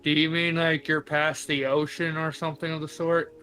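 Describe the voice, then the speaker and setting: A man's voice: a little high, little pitch movement, moderate pace, sharp tone. A man playing a tabletop roleplaying game, chatting casually and improvising in a hesitant, apologetic way. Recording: music behind the voice. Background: music.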